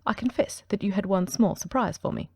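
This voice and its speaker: A woman voicing a brilliant male detective who speaks in a brisk, precise, ironic way, in an audiobook reading. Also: medium pitch, slightly rough, no echo, clean studio sound.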